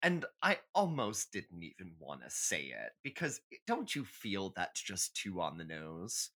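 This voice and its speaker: A man's voice: low voice, huge pitch swings, a little slow, pushed and bright. A man co-hosting a podcast, chatting casually and comically in a sassy, opinionated way with plenty of swearing. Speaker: podcast co-host. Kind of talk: casual comedic astrology chat.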